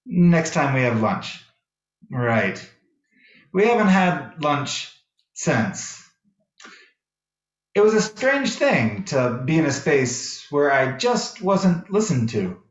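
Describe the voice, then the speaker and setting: American guy preaching a sermon, kinda high voice, tells stories, echoey room and a bit muffled. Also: big pitch swings, takes it slowly, long pauses. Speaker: minister. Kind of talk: sermon with personal storytelling. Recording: roomy, echoey, slightly dull sound.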